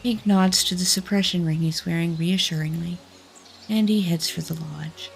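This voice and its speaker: A woman's voice: fairly low pitch, steady tone, moderate pace, creaky, slight background noise. A woman reading scripted fantasy narration as the narrator of an audio drama. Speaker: narrator and lead actor.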